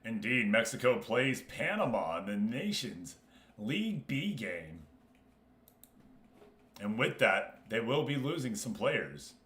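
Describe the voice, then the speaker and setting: A man's voice: mid-range voice, very animated, takes it slowly, a bit bright. A man hosting a casual game livestream, talking informally and directly to his viewers. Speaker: streamer and host. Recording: roomy, echoing room sound.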